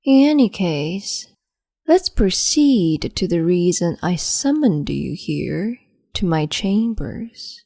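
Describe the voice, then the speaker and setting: Woman as cruel empress, condescending, whispery, dark low tone, dry close mic. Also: sing-song, dry recording. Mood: mocking. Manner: slow, mocking, condescending, drifts into whispers. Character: cruel capricious female empress, haughty. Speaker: ASMR roleplay performer. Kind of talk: ASMR roleplay, whispered and soft-spoken.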